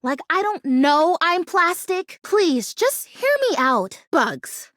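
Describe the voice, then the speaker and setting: A woman's voice: very high voice, sing-song, slow, fairly bright tone, no echo, studio quality, exaggerated voice. A woman voicing an anxious, hopeful artificial houseplant in a video game, chatty and earnest with a quirky turn. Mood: anxious pleading. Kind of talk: animated video game voice acting.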